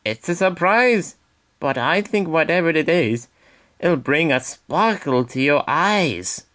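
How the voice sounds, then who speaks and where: Man as a male tank engine, children's story, friendly, teasing, lively intonation. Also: fairly high pitch, takes it slowly, voice pushed up. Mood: playfully secretive.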